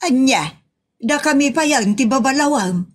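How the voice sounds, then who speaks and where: A woman's voice: high-pitched, lively intonation, moderate pace. A woman with an Ilocano accent acting as a scolding, easily mortified mother in a comedy audio drama, exclaiming in exasperation. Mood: exasperated.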